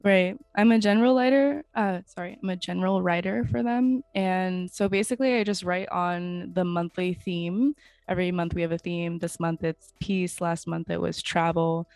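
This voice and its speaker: A young woman with a smooth, clear voice that sits a little low. She talks in a casual, reflective way, like a guest on a podcast, and the sound is dry with no room echo. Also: steady tone, moderate pace, a little mellow. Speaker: interview guest, photographer and writer.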